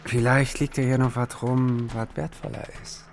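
An actor playing a sceptical, grumbling small-time crook in a radio drama. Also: male voice, mid-range voice, level tone, moderate pace, creaky, a little hiss or hum, lightly acted. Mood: doubtful, grumbling.

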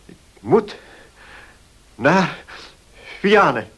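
An actor in a radio play voicing an ailing, stubborn notary who speaks in a halting, strained way. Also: male voice, a little high, very animated, sharp tone, breathy edge, exaggerated voice. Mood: strained, insistent. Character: ailing, stubborn notary, desperate to make amends.

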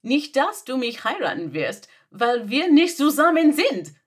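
A flustered young female assistant, read by a woman meets each demand with baffled, exasperated questions. She speaks slowly and deliberately, and her pitch swings widely up and down. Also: big pitch swings, husky, exaggerated voice. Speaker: podfic reader. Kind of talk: German audio drama reading.

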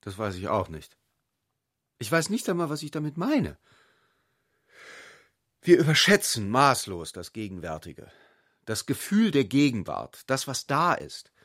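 An intellectual gentleman in a literary radio drama, ironic and speculative. His medium-pitched voice swings widely as he gropes from one short thought to the next. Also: very animated, a little slow, long pauses. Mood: musing.

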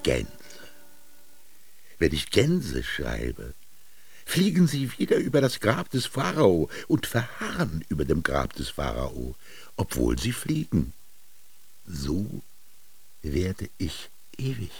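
An actor playing an old Egyptian master scribe in a radio drama, solemn and pompous, declaiming with drawn-out words. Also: male voice, deep voice, wildly swooping pitch, gravelly, breathy edge, exaggerated voice. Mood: solemn, lofty. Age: older. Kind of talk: German radio drama monologue. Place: studio.

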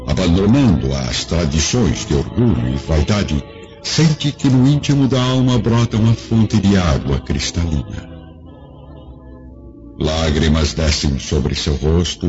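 A low-voiced Brazilian man delivers solemn radio drama narration with sweeping, theatrical intonation. Loud music plays behind him in a slightly dull recording.

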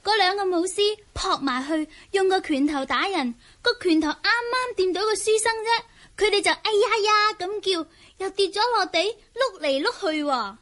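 Little village girl, super high voice, innocent n chatty, eager kid in a radio drama. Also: expressive, normal speed, fairly bright tone. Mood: amused, excited. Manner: childlike, eager, guileless storytelling. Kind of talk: Cantonese radio drama acting.

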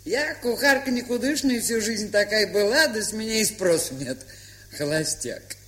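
An actress playing a gruff, stubborn but kind-hearted old aunt in a radio play, with an aged, husky, folksy, grumbling way of talking. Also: female voice, mid-range voice, animated, normal speed, fairly bright tone, natural voice. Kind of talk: Soviet radio play acting.

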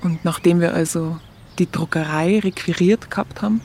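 Actress as munich woman telling war memories, bavarian accent, lowish voice, halting n wry, faint noise. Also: fairly even intonation, darkish tone, close to an everyday voice. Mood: reflective, halting. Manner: halting, searching, conversational. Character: Munich woman recalling wartime events, plainspoken and wry. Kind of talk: colloquial first-person narration in radio play.

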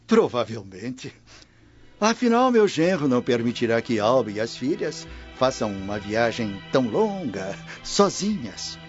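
A man's voice: mid-range voice, very animated, measured pace. A man playing an old Roman censor in a radio drama, a polite, deferential and anxious family man. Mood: eager, fond. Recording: muffled radio drama with background music.

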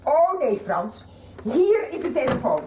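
A woman's voice: sits high, very animated, a little slow, roomy sound, dull audio, noisy background. A woman playing an outraged, unyielding middle-aged mother in a radio soap, emphatic and insistent. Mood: indignant, accusing. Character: outraged, unyielding mother confronting her daughter's abuser.

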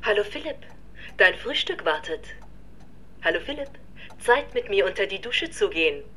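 Female wake-up alarm voice for radio drama: mid-pitched, gentle, coaxing, faint noise. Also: sing-song, moderate pace. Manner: soft, sweet, coaxing. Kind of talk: recorded personalised wake-up announcements.

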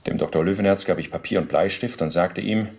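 A former SS officer giving testimony in a radio drama. The voice is low, and the wording is stiff officialese. He justifies himself in pedantic detail and stays formally deferential to his interrogator. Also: fast, creaky, darkish tone. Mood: self-justifying, precise. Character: male former SS officer under interrogation, self-justifying bureaucrat. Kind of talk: radio play, testimony monologue.

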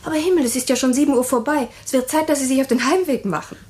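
A woman's voice: high voice, racing, darkish tone, husky. An actress in a German radio drama playing a young wife who keeps pressing her husband with questions. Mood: kind. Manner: questioning, insistent. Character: anxious, probing young wife. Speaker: wife Pamela.

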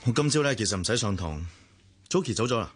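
A man's voice: lowish voice, very animated, quick pace, music in the background. A man with a Hong Kong Cantonese accent acting a protective but detached elder brother in a radio drama, admonishing and matter-of-fact.

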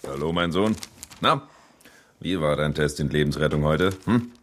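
A man's voice: a bass voice, expressive, moderate pace, fairly bright tone. A man playing a proud, boastful superhero father in a children's radio play. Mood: stern, surprised.

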